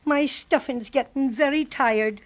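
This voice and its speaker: A woman's voice: sits high, unhurried. A woman playing a small, proud, cheeky stuffed toy bear in a 1930s children's radio drama. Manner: cheeky, folksy. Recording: muffled 1930s radio recording.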